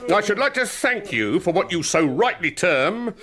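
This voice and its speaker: An actor playing a pompous, aggrieved middle-aged British police inspector forced into retirement, with orotund radio drama delivery. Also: male voice, sits high, sing-song, moderate pace, bright, forceful tone, heavily acted. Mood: self-important.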